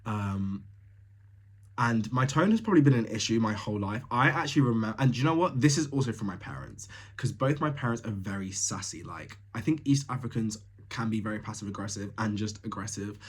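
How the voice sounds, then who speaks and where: A man's voice: lowish voice, expressive, brisk, slightly rough. A young man from Tanzania hosting a solo podcast, talking casually and bluntly, laughing often with lots of fillers. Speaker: podcast host.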